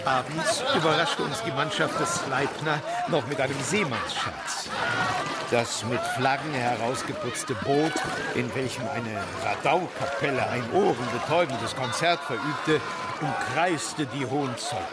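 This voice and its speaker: A man's voice: mid-range voice, a little slow, close to an everyday voice. An actor playing a member of a sea voyage who narrates his memories descriptively in a radio play. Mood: wry, lively. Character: male voyage member recounting a sailors' prank. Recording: loud music and crowd noise behind.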